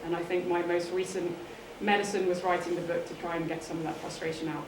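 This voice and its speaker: A woman's voice: lowish voice, level tone, quick pace. A woman in her forties with a southern English accent, giving earnest, articulate answers as an interview guest. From England. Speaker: interview guest, sports author. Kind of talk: interview answers, personal storytelling. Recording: roomy echo, distant mic, faint noise.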